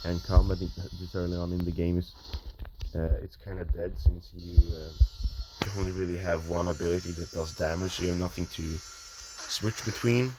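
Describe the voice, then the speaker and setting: A man's voice: low-pitched, fairly even intonation, moderate pace. A man thinking aloud while he plays an online game, hesitant and full of ums, his voice a little off from the early morning. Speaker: gamer. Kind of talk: casual gameplay commentary. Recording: noisy home recording with clicks.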